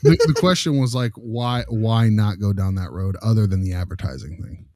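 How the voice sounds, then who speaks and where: A man's voice: on the low side, moderate pace, dark tone, no room sound, studio quality. A man hosting a casual case discussion, informal and blunt, pressing the others with questions. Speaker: discussion host. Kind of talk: casual panel discussion of clinical cases.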